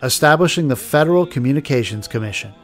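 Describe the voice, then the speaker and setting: A man's voice: mid-range voice, animated, fast. Male narrator for scripted radio history documentary, mid-range voice, light music bed.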